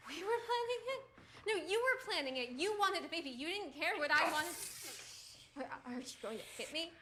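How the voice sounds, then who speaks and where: An actress playing a frightened young woman who stammers in a breathy whisper and then stands up to her abusive partner. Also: extremely high pitch, expressive, fairly quick, noisy background. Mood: defiant.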